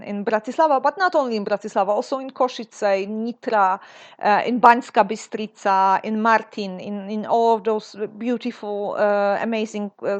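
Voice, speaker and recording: A Polish woman in her thirties, a guest speaking in an interview, often pausing on fillers and restarting her sentences. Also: mid-range voice. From Poland. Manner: hesitant, many fillers and restarts. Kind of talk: interview conversation. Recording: dull lo-fi sound.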